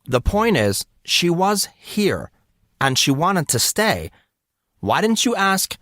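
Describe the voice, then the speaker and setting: A man voicing an excitable, nosy teenage boy with a pestering, dramatic manner in an audiobook. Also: sits high, takes it slowly, no room sound, clean studio sound, voice pushed up, exaggerated voice. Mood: indignant. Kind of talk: audiobook character voice.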